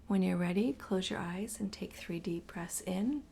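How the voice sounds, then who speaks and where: A woman narrating a guided meditation slowly and soothingly, her breathy voice often dropping toward a whisper. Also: mid-range voice, a little mellow, slight background noise.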